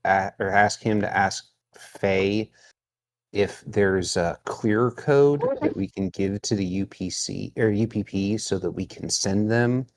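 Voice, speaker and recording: Male tabletop player, fairly low pitch, slow unhurried pace, casual table talk.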